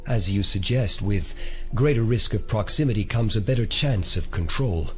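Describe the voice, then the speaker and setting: An actor playing a cold, imperious alien elder in an audio drama, speaking in a measured, formal, condescending way. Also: male voice, on the low side, animated, faint music behind, lightly acted. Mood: cold, calculating.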